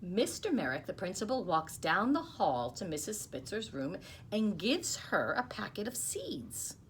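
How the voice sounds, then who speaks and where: Expressive, slow-paced woman reading a storybook. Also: medium pitch. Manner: expressive, unhurried, dropping to soft asides. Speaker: school storytime reader. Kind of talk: reading a picture book aloud to children, with explaining asides. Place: small office, indoors. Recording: clearly noisy small-room recording.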